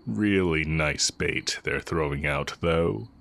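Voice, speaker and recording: An extremely deep, slow-talking heretic sorcerer acted by a man, once an academy teacher. He speaks intimately and straight to the listener, with smug, wry condescension and an undercurrent of affection, as in a fantasy audio roleplay. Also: vocal fry, fairly bright tone, heavily acted. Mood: wry, disdainful. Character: exiled heretic dark-magic teacher, smug, fond, villainous.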